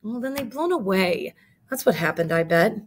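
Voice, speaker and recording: A woman's voice: medium pitch, lively intonation, moderate pace. A woman reading a storybook aloud and voicing an anxious young boy who pleads and frets. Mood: worried. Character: worried young boy stuck on a tank.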